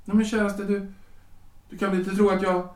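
A man playing a hesitant, self-important husband in his forties, a lawyer and politician, speaking formally and haltingly in a period audio drama. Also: high-pitched, level tone, far from the mic, natural voice. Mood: hesitant, apologetic. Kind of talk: period stage-play audio drama acting. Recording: roomy, echoing, off the microphone.

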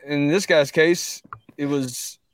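A man in a heated conversation, speaking earnestly and defensively, often halting and repeating himself as he makes his point. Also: fairly high pitch, fairly even intonation, no room sound. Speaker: podcast guest. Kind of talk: heated conversational storytelling and debate.

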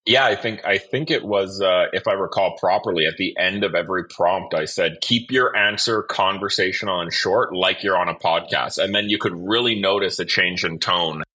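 A man's voice: sits low, moderate pace, hardly any pauses, sharp tone, breathy edge. A man hosting a podcast, interviewing in a conversational way.

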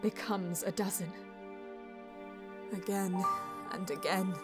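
A woman playing a solemn Eldar bonesinger who narrates her quest in a measured, poetic way in an audio drama. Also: medium pitch, steady tone, darkish tone, lightly acted. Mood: weary, determined. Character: female Eldar bonesinger, solemn, mystical, easily frustrated seeker. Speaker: narrator and lead character. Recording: music under the voice. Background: background music.

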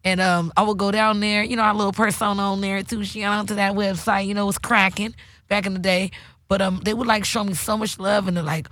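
A woman from California with a raspy voice, hosting a casual podcast chat and telling loose, slangy stories with many restarts. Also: medium pitch, little pitch movement, fairly quick, a bit bright, no room sound, clean studio sound. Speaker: podcast host.

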